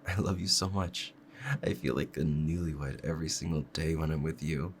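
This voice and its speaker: A man playing a doting, obsessive kitsune husband in an intimate ASMR roleplay, speaking softly and clingily to the listener. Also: extremely low pitch, steady tone, moderate pace, slight background noise. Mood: adoring, giddy. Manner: soft, clingy, adoring, hesitant.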